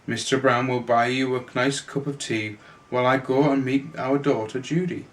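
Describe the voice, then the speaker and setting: A man's voice: mid-range voice, steady tone, normal speed, close to an everyday voice. A man voicing a kindly, decisive married woman in a children's storybook, concerned and ready to take charge. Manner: exclaiming, concerned, takes charge.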